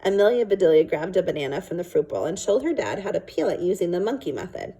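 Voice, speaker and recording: Lady reading a kids book out loud, lowish husky voice, really animated. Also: quick pace, rough recording. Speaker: storyteller reading a children's book aloud. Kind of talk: expressive read-aloud narration.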